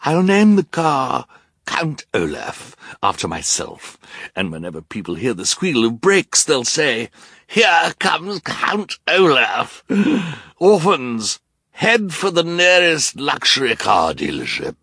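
A man voicing an arrogant, menacing male storybook villain who growls and sneers in a drawling, gravelly voice. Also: medium pitch, sing-song, slow, pushed and bright, no echo, clean studio sound, pitched above the performer's own voice, heavily acted. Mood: boastful.

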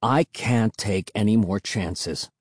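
A man voicing a calm, grim and firm father in an audiobook story, speaking in a quiet, measured way. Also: fairly low pitch, no echo, studio quality.

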